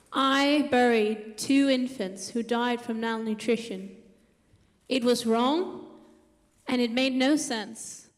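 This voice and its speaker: A woman who grew up in Uganda giving a prepared press conference speech in earnest, measured short phrases. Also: fairly high pitch. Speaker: climate initiative spokeswoman. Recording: echoey hall with long reverb.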